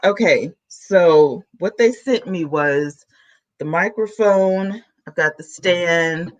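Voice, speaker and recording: A woman YouTuber, chatting excitedly to her viewers from home while she sets up a new microphone. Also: lowish voice, slow, highs cut off. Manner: chatty, excitable, talks to chat. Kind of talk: casual vlog chat to viewers. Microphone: camera mic, then a new plug-in USB mic.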